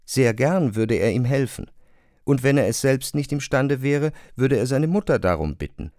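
A man narrating a literary radio drama in a measured, precise manner. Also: mid-range voice, lively intonation, darkish tone, no room sound, studio quality.

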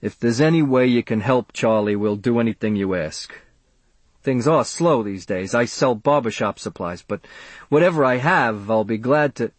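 A man's voice: medium pitch, normal speed, no echo, close to an everyday voice. Man playing meek, worried working husband. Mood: apologetic.

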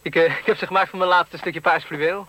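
An actor playing a dreamy, reluctant young fashion designer in a radio play, hesitant, soft-spoken and slightly affected, on a noisy old recording. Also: male voice, high voice, steady tone, fast. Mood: admiring, yielding. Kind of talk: 1970s Dutch radio play acting.

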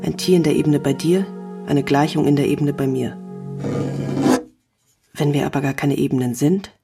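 An actress playing a brooding, coolly evasive woman journalist in a literary radio drama, musing in a flat, detached manner. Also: low voice, moderate pace, loud music behind the voice.

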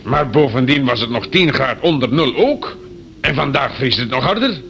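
An actor in a radio play playing a fussy, officious police inspector, insistent and mock-courteous, on a noisy old recording. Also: male voice, mid-range voice, lively intonation, moderate pace, vocal fry, fairly bright tone, highs cut off. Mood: incredulous. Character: officious, exasperated, fatherly male police inspector.

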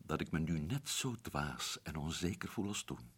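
A man's voice: very deep voice, sing-song, normal speed, slightly rough, full character voice. An actor playing an adventurous man back from Africa, telling his story earnestly and urgently in a radio drama. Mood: wistful. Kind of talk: 1960s radio drama acting.

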